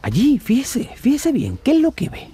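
A man's voice: very high-pitched, wildly swooping pitch, normal speed, warm tone, breathy edge, heavily acted. A man playing an insistent little demon guide in a Christmas radio play, with theatrical delivery. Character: little demon guide showing a world without George.

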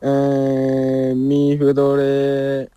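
Young male footballer, Japanese accent, non-native speaker. Very slow, smooth clear voice, short hesitant answers. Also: medium pitch, completely flat delivery, mellow, dry recording. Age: late teens. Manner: halting, short simple answers. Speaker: footballer interviewed.

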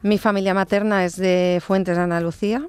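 A woman in her fifties, a nurse, talking thoughtfully in an interview, with hesitant restarts and phrases that trail off softly. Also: mid-range voice, steady tone, brisk, smooth. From born in England, raised in Barcelona. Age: 50s. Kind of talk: conversational interview.

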